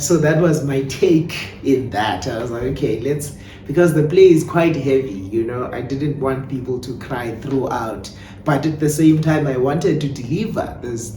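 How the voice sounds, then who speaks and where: A South African man giving conversational interview answers as a playwright and director, telling things in an animated storytelling way. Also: mid-range voice. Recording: echoey room, distant mic, clear background noise.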